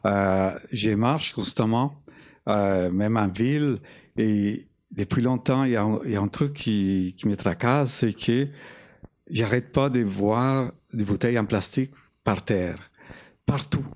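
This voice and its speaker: A man from Colombia, a cartoonist and illustrator, speaking haltingly as a guest on a festival panel, with many fillers and restarts, in a language that is not his first. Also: lowish voice, a little mellow.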